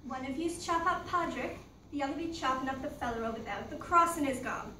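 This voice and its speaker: A woman's voice: high-pitched, fast talker, close to an everyday voice. A woman voicing a tough, bossy young Irish woman in a put-on Irish accent, in an amateur play reading. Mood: blunt. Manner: blunt, bossy. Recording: distant roomy recording with background noise.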